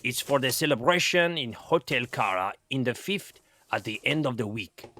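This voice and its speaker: A man playing a bossy, self-important head chef in a children's audio drama, with a husky, gravelly voice and brusque manner. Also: medium pitch, lively intonation, moderate pace, sharp tone, dry recording. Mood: brusque, demanding. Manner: pompous, brusque.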